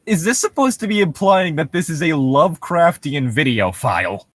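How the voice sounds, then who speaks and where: A man's voice: on the high side, expressive, moderate pace, fairly bright tone, no echo, studio quality. A man co-hosting a comedic podcast, chatting casually and reading aloud with a sardonic, profane manner. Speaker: podcast co-host. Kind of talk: casual comedic chat while reading horror stories aloud.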